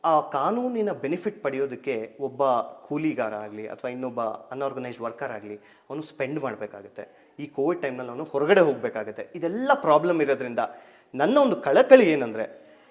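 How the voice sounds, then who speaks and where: A man's voice: mid-range voice, sing-song, fairly quick. A man with an Indian accent from Karnataka, giving emphatic explanations as an expert guest and mixing in English terms. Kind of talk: explanatory interview answers.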